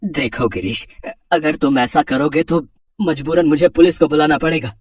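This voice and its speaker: A woman's voice: deep voice, normal speed, a little mellow, slightly rough, dry recording, voice pushed down. A woman voicing a simple, trusting male street vendor and family man in a moral story, earnest and plain-spoken. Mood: pleading, upset.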